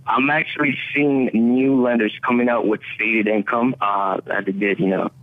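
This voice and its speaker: A man whose first language is Spanish, a mortgage loan officer calling into a radio show and speaking haltingly with many fillers. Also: mid-range voice, fairly even intonation. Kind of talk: casual radio interview. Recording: tinny phone line.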